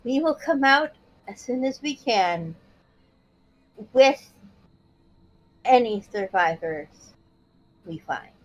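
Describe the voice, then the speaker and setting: A woman voicing a calm, protective magic-user who speaks formally and courteously in a tabletop role-play. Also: a little high, slow pace, pauses often, rough recording. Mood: calm, resolute.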